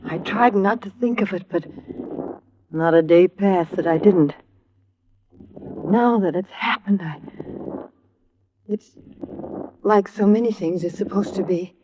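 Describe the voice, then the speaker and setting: Dull, slightly noisy old radio recording of an actress playing a middle-aged American widow. She sounds grieving and anxious, speaking haltingly at a medium pitch in vintage melodrama style. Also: natural voice. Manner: halting, strained. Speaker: actress in a radio detective series. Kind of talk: 1950s American radio drama acting.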